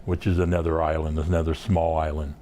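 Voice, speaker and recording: A man from Southeast Alaska, a guest on a talk show, telling his family history in an unhurried, halting way with many fillers. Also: extremely low pitch, level tone, warm tone, slight background noise. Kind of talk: casual interview storytelling.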